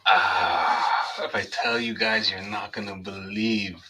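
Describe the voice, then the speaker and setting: A man's voice: lowish voice, fairly even intonation, slow pace, heavy background noise. A man in his forties from Canada, a guest in casual chat, joking, affectionate and loose. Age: 40s. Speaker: guest on a live stream.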